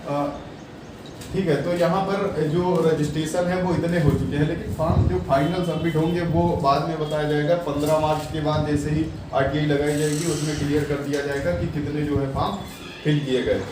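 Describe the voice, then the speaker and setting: A man's voice: on the high side, steady tone, fairly quick. A man explaining exam application numbers and competition to students, repeating figures and reassuring them. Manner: reads out numbers digit by digit, reassuring and repetitive. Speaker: exam coaching YouTuber. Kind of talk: explaining exam form statistics. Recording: echoey distant mic with loud noise.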